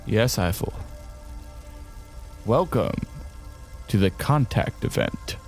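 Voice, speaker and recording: Domineering colonel, widely swinging intonation. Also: male voice, on the low side, animated, faint music behind. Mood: smug, formal. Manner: formal, deliberate, domineering. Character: domineering colonel commanding a space station, self-assured, menacing. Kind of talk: audio drama acting.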